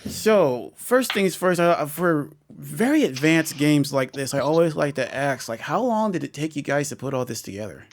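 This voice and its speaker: A man's voice: a little high, very animated, moderate pace, few pauses. Upbeat rambling male channel host. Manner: rambling, upbeat, many restarts. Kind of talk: casual video update and interview.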